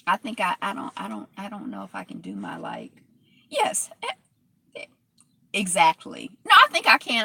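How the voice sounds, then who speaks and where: Gravelly, bright-voiced woman vlogger. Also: low voice. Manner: rambling, reflective, with many fillers and restarts. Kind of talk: reading from a history text and commenting aloud.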